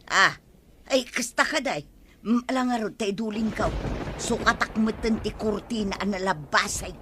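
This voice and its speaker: A woman's voice: mid-range voice, moderate pace, close to an everyday voice. A woman playing an exasperated, doubting village wife with an Ilocano accent in a comedic radio drama. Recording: faint noise and ambience.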